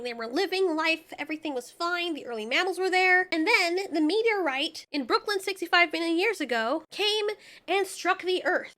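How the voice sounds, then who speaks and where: High-pitched woman, sharp bright tone, sarcastic movie-review host, slangy, sweary, casual comedic commentary.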